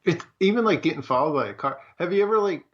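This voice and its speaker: A man's voice: on the high side, animated, fast, lo-fi sound. A man chatting casually and informally as a host. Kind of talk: casual chat.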